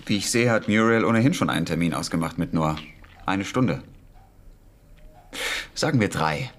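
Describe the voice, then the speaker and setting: A man's voice: sits low, lively intonation, normal speed, slight background noise. An actor playing a reserved British wartime intelligence man in a German radio drama, with a terse, questioning manner.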